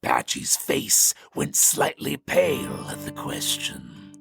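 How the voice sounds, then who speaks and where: A man playing a sly, folksy Old West storyteller with a gravelly voice and American accent, narrating a western audio drama theatrically. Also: medium pitch, wildly swooping pitch, slow pace, heavily acted. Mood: ominous storytelling. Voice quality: gravelly, creaky. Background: music.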